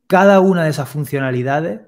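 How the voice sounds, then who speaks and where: A young man calmly explaining pricing step by step on a video call, headset mic in a home room. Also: a little high. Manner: plain, step-by-step explanations with quick asides. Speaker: web development teacher, guest expert.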